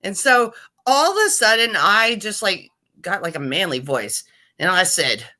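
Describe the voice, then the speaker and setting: A woman's voice: medium pitch, expressive, measured pace, bright, forceful tone. A woman improvising a silly story with a friend, playful and rambling.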